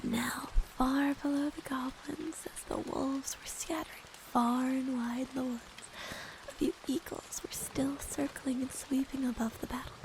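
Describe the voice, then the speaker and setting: A woman with a stuffy nose reading a story aloud in a soft, gentle ASMR whisper. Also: high voice, steady tone, unhurried, noisy background.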